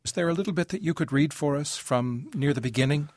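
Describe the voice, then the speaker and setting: A man's voice: fairly high pitch, fast talker, a little mellow, no echo. Thoughtful male interviewer with music behind. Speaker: interviewer, host. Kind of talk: reflective interview questioning.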